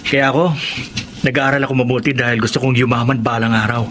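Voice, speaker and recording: An earnest, caring boy, played by a man, youthful and mid-pitched. It is Filipino radio drama with clear background noise. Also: steady tone, fast talker, close to an everyday voice. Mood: dreamy. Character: earnest, caring youngster, the older sibling.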